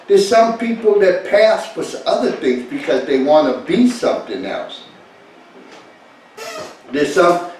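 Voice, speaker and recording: A man's voice: sits high, darkish tone. Echoey, distant man talking informally. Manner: repeats phrases emphatically, chuckling often. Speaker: speaker leading a talk. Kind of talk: informal rambling talk to an audience. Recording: echoey, distant mic, faint noise.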